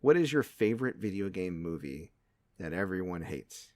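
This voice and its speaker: A man hosting a podcast, chatting casually in an animated, joking way with some swearing. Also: deep voice, normal speed, no echo. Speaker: podcast host. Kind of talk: casual, bantering podcast chat.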